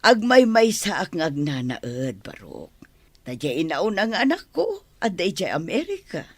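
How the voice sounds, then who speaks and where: A woman playing a lively, talkative older village mother in Ilocano radio drama, with a husky, breathy voice. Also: lowish voice, unhurried, no room sound, exaggerated voice. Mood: matter-of-fact. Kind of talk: Filipino radio comedy-drama acting.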